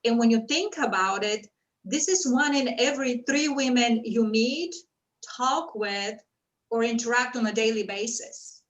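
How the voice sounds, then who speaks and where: A woman from Bulgaria speaks in a fairly high voice, earnest and measured. She stresses her points in steady lists. She sits back from the mic of a video call in an echoey room. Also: recorded from a distance. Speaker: women's empowerment coach. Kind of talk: prepared panel introduction.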